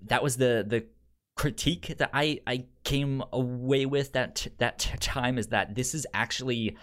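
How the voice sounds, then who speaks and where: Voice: mid-range voice, moderate pace, a bit bright. A podcast co-host chatting casually about films, often stammering and repeating syllables and words. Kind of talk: casual conversational film chat.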